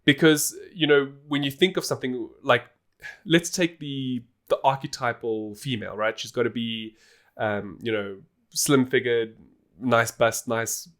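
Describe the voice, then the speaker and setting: A man's voice: medium pitch, a little slow, fairly bright tone. A man hosting a podcast, explaining things in a casual, informal and emphatic way. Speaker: podcast host.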